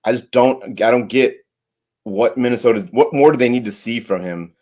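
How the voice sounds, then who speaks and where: A man with an average-pitched voice co-hosts a fantasy baseball show. His commentary is conversational, and he presses his arguments with emphasis. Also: normal speed, darkish tone. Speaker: podcast co-host.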